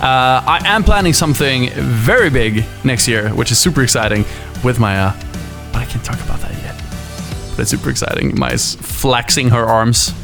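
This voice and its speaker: A man answering viewer questions in a casual chat, lively and often laughing, with many fillers. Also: medium pitch, moderate pace, creaky, over music. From Sweden. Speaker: YouTuber and musician.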